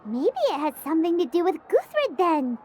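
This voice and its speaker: A woman voicing a small, excitable, childlike fairy companion in a video game, squeaky and bubbly. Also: moderate pace, a little hiss or hum. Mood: curious, surprised. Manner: bubbly, refers to herself by name. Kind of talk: English video game character acting.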